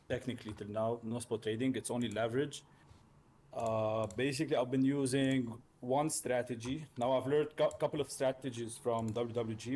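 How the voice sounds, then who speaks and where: A man from Lebanon, speaking haltingly and casually as a learner in a mentoring chat. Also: medium pitch, warm tone, slight background noise. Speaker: learner trader, mentee.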